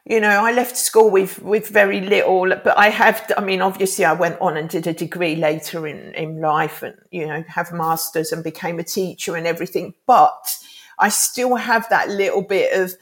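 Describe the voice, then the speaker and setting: A woman's voice: fairly low pitch, steady tone, moderate pace. A middle-aged woman whose first language is Greek, an author and life coach talking earnestly in a podcast interview, rambling with many restarts.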